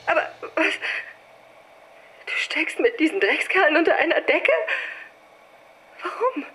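High-voiced, frightened film star. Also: female voice, expressive, measured pace, pushed and bright, a little hiss or hum, heavily acted, low-quality recording. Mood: hurt, accusing. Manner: emotional, protesting. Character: frightened, betrayed adult female film star, pleading. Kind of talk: radio drama acting.

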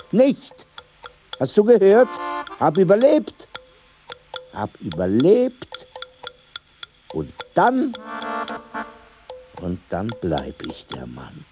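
Old survivor, low voice, very slow, dramatically varied pitch, courteous, halting, radio drama. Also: male voice, exaggerated voice. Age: older. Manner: slow, halting, repeating phrases. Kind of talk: literary radio play acting.

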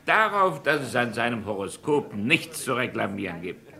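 An actor playing a genial, wordy doctor at a party in a crime radio play, pompous and teasing. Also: male voice, mid-range voice, sing-song, normal speed, creaky, crowd noise. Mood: jovial, teasing. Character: jovial, wordy doctor, a tipsy party guest.

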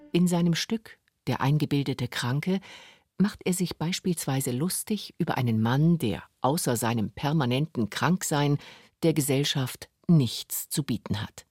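A man's voice: a little high, darkish tone, husky, dry recording. A man reading scripted documentary narration for a radio feature. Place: radio studio.

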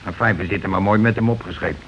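An actor playing a bossy, calculating working-class husband in a Dutch radio play, blunt and argumentative in colloquial speech. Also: male voice, low voice, fast talker, close to an everyday voice. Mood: grumbling. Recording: dull, noisy old radio recording.

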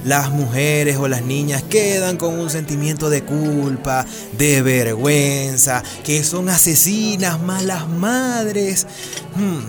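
A kindly, discreet doctor in a radio drama. His voice is fairly high and paternal, soothing as he talks, over clear background music. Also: slow. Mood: reassuring, earnest. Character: kindly, paternal, secretive male neighborhood doctor.

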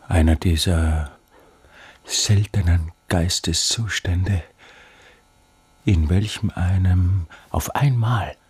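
An actor playing an obsessed, despairing older man, a failed scholar, in a halting, repetitive literary radio drama monologue that swells into outbursts. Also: low-pitched, breathy edge, exaggerated voice. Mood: brooding, halting.

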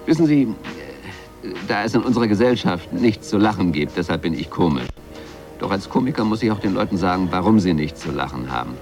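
A glib comedian in a radio play, with a lowish voice. He rambles ironically, flirts and dodges, stopping and starting as he goes. Music plays clearly behind him. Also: lively intonation, a little fast, darkish tone. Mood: wry. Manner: playful, rambling, ironic, stop-and-start hesitations. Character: glib male comedian, flirtatious, wisecracking, evasive under questioning. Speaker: comedian being interviewed. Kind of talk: 1980s German radio drama acting.

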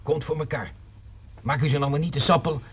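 A man's voice: on the high side, fairly quick, slight background noise, natural voice. An actor playing a deferential man who eagerly promises favours on the phone in a radio play.

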